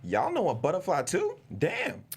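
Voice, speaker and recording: An actor plays a bereaved fly in a comedy table read, awkward, earnest and a bit clueless. The pitch leaps around a great deal, over a faint bed of room noise. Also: huge pitch swings, moderate pace, creaky. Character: grieving, awkward fly named Marquise, toothless and sweetly clueless.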